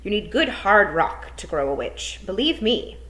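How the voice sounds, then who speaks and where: A woman voicing a stern travelling witch in a storybook reading, speaking in a brisk, matter-of-fact way. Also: mid-range voice, big pitch swings, a bit bright. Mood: insistent.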